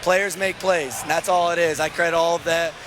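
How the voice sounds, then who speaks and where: A young man with a raspy voice, talking excitably as a winning coach in an interview, with a self-deprecating manner. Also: a little high, fairly quick, noisy background.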